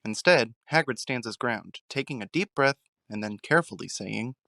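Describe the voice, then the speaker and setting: Dry, mid-pitched male narrator. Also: expressive, moderate pace, vocal fry, sharp tone, dry recording. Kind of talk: scripted narration of a fan audio drama. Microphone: close mic, dry recording.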